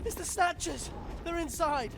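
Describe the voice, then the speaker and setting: A man's voice: very high-pitched, a little slow, creaky, heavy background noise, exaggerated voice. An actor playing a panicky, suspicious teenage wizard boy in a fantasy video game. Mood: alarmed. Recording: loud music over the voice.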